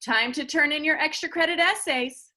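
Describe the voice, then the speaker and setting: Woman playing a stern, bright-voiced homeroom teacher. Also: high-pitched, moderate pace, voice pushed up. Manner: crisp, commanding, formal.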